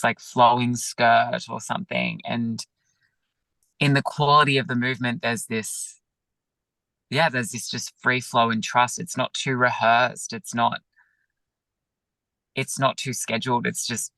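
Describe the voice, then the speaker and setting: A man, a yoga teacher, talking gently and reflectively over a video call, with many pauses and fillers. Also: mid-range voice, flat delivery, gravelly, no room sound, rough recording. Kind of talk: reflective interview talk.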